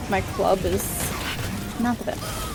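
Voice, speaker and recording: A woman's voice: mid-range voice, takes it slowly, gravelly, low-quality recording. A woman chatting casually with friends as she plays a game. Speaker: gaming friend on stream. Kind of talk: casual chat while gaming. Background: game music.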